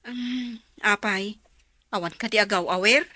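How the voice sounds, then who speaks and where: Inquisitive Ilocano woman, bright voice. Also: mid-range voice, unhurried, no echo, studio quality. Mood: frank, curious. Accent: Filipino, Ilocano. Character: Eva, frank questioning woman giving Cora laundry work. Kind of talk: Ilocano radio drama acting.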